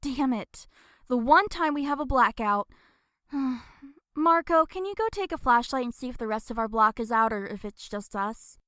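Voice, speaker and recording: A woman playing a hot-tempered, exasperated young woman in an audio drama, sharp-tongued. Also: fairly high pitch, animated, normal speed, clear, resonant tone, no room sound, clean studio sound. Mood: frustrated.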